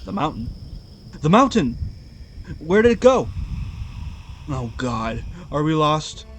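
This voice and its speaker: A man's voice: fairly high pitch, very animated, takes it slowly, fairly bright tone, slight background noise, full character voice. A man playing an anxious, fretful member of a lost expedition in an audio drama, sounding agitated. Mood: irritated, then alarmed.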